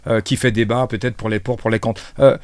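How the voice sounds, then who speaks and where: A male radio host interviews guests in a dry studio. His voice sits at a middle pitch, and he builds long questions hesitantly, often repeating phrases as he goes. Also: level tone, fairly quick, dry recording.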